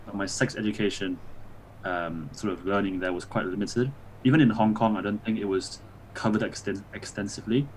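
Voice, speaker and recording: A man born in Malaysia, a doctor guest on a podcast, explaining things in a measured way. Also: fairly low pitch, fairly even intonation, darkish tone, slight background noise, rough recording. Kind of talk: casual conversational interview.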